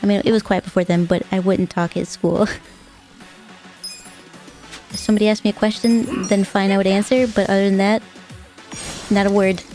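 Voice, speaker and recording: A young woman streaming a game, chatting softly and casually with viewers, often repeating words as she goes. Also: medium pitch, fairly even intonation, soft background music. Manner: soft-spoken, halting, repeats words. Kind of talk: casual gaming stream chat.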